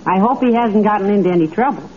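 Actress as a wry folksy housewife, dull recording. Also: female voice, mid-range voice, quick pace, lightly acted. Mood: fond, wry. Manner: wry, fond, wisecracking. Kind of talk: old-time radio comedy acting. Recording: dull vintage radio with faint noise.

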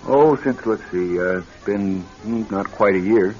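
An actor in a radio drama playing a nervous young married executive troubled by bad dreams, speaking in a halting, earnest way. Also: male voice, on the low side, a little mellow, faint music behind, close to an everyday voice. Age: young adult. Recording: dull band-limited old radio recording.

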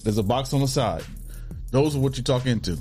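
A man hosting a casual podcast, coaching a co-host on mic technique in a quick, chatty way. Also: mid-range voice, over music. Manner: coaching, talks fast and lively. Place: small studio.

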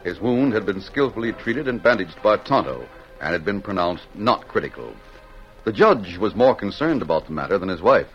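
A man's voice: low-pitched, big pitch swings, moderate pace, a bit bright, faint music behind. A man narrating a western adventure in dramatic, scripted old-time radio style. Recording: dull old radio recording.

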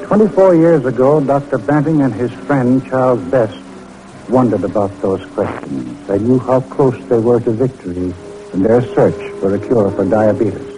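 Old time radio announcer guy, dramatic and formal, medium pitch, music playing behind. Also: expressive, normal speed, poor audio. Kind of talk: 1940s scripted radio narration.

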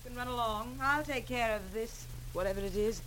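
A woman's voice: a little high, a little fast, fairly bright tone, lightly acted. An actress playing a haughty, sardonic society woman in a mid-century radio drama, cool, arch and dismissive. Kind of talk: 1950s radio drama acting. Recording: noisy old radio drama recording.

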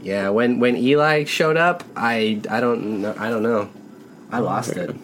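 A man's voice: medium pitch, measured pace, a bit bright. Laughing, self-mocking male podcast co-host. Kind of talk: casual comedy banter.

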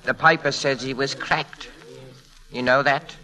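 A man's voice: medium pitch, fairly even intonation, a little slow, sharp tone, rough recording. An actor playing a dismissive, argumentative man in a crowd, opinionated and scornful, in a classic radio drama. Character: dismissive, argumentative British male onlooker.

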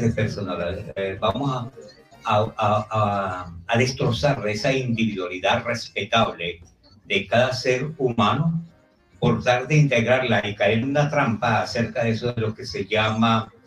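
Male participant with a slightly low pitch, formal halting remarks, recorded somewhat far off in an echoing room. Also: fairly bright tone. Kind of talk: formal spoken comment at a book presentation. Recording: roomy, distant from the mic.

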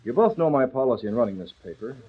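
An actor playing a principled young newspaper publisher, crisp and authoritative, in 1940s radio drama style. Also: male voice, medium pitch, lively intonation, rapid-fire. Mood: firm, serious. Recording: dull old radio recording.

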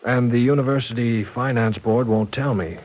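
An actor playing a courteous, modest university professor in a 1940s radio soap opera, speaking with gentle, hesitant formality. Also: male voice, mid-range voice, moderate pace, close to an everyday voice, rough recording. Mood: earnest, explaining. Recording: old radio drama recording.

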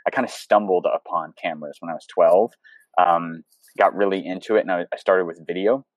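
A man's voice: sits low, fairly even intonation, fairly quick, dry recording, rough recording. A young man, a photographer and coach, talking casually as an interview guest over a video call, rambling with many fillers and restarts. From United States.

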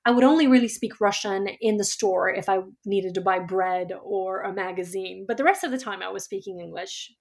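A woman from Georgia, a first-language Georgian speaker, tells her life story as an author being interviewed, reflective and often pausing on fillers and restarts. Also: mid-range voice, gravelly, a little mellow. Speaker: author and guest.

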